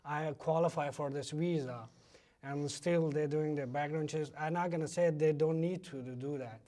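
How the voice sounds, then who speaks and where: A man with an Afghan accent and a fairly high-set voice speaks as an interview guest. His native language is Pashto, and his English comes in halting, repeated phrases as he explains things conversationally. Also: steady tone, mellow.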